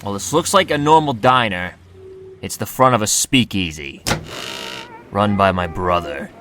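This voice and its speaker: A man playing a gruff, impatient 1920s police detective in a radio drama, curt and commanding. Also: fairly low pitch, lively intonation, measured pace, fairly bright tone, a little hiss or hum. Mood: guarded, firm.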